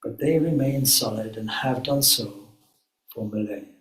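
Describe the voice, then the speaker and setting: A man leading a guided meditation as a spiritual teacher, speaking calmly in measured phrases with frequent pauses. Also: medium pitch. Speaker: swami, guided meditation leader. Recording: echoey room.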